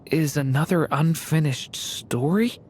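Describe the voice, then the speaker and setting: A woman voicing a gentle, earnest young boy in a video game, speaking in a hesitant, sincere way. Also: low-pitched, measured pace, slight background noise. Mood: curious, pensive. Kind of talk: video game character voice acting.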